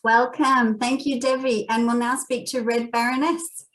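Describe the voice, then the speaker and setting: A woman's voice: fairly high pitch, fairly even intonation, moderate pace, a bit bright, far from the mic. A woman from Australia with an Australian accent, hosting an online panel in an earnest, heartfelt way. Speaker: panel host. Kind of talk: video panel introduction.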